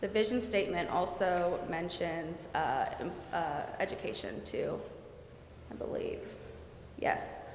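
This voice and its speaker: A woman's voice: lowish voice, animated, measured pace. A woman presenting and explaining at a public meeting in a council chamber. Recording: echoey hall, noisy background.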